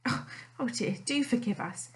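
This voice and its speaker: Woman reading anxious wife, high, pleading, wailing. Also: animated, moderate pace, lightly acted. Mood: flustered. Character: anxious, fretful middle-aged wife.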